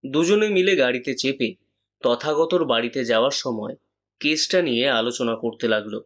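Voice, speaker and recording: A man's voice: medium pitch, moderate pace, fairly bright tone. A man narrating a scripted detective story as its reader.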